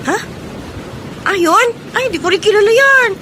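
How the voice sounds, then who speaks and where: Casting: breezy young female best friend, Filipino accent, very high voice, faint ambience, radio drama. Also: expressive, measured pace, background noise. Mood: breezy, dismissive.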